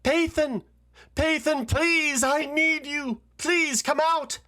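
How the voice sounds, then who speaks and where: Man doing a distraught fantasy noblewoman, very high pitch, tearful, urgent, pleading. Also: fairly even intonation, slow pace, pushed and bright, heavily acted. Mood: desperate pleading. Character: distraught, pleading female senator in fantasy tale.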